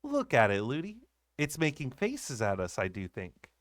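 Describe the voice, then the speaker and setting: A piping, eager little princess chatters away, as a man reading a storybook puts her on. Her high voice bounces about lively in a dry, close recording. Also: normal speed, no room sound. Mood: curious.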